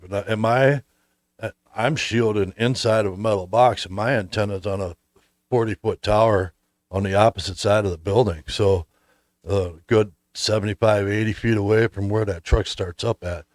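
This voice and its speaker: Low-voiced man, dry close sound. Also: normal speed, dry recording. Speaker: host. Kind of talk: casual conversation.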